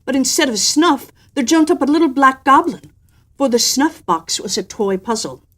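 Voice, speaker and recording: A woman reading a children's story aloud in an expressive, theatrical storytelling manner, with emphatic swells and softer drops. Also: high voice, moderate pace. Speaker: storyteller and host. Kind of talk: expressive storybook narration with an in-character personal anecdote.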